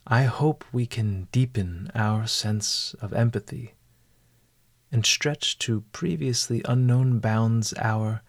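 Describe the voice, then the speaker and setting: A man narrating a guided meditation in a formal, measured, literary manner. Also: on the low side, no room sound.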